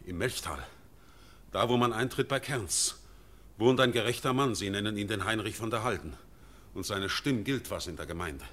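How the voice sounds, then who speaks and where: An actor playing a solemn middle-aged nobleman bearing bad news in a classic German radio play, measured and grave. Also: on the low side, fairly bright tone, natural voice.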